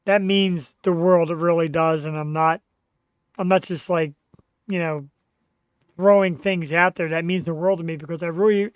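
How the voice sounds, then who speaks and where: A man's voice: fairly high pitch, steady tone, normal speed, clear, resonant tone, no room sound. A man hosting his own podcast, talking casually in an earnest, rambling, self-deprecating way. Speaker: podcast host. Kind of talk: casual chat.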